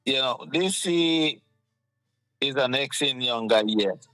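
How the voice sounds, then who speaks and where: A man's voice: medium pitch, slow, sharp tone, clear, resonant tone, dry recording, faint music behind, rough recording. Fired-up Guyanese man, dry close sound. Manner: passionate, rhetorical questions. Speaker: talk show host. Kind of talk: casual political chat.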